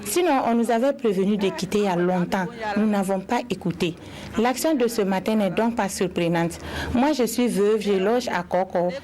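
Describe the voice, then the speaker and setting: A woman's voice: mid-range voice, moderate pace. A woman delivering a translated testimony as a voice-over. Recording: chatter clearly behind the voice.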